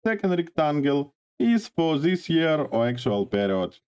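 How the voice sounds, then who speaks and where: A man with a hoarse voice from a cold, explaining a data visualization tutorial step by step with frequent pauses. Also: a little high, big pitch swings, darkish tone. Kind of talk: screen-share software tutorial.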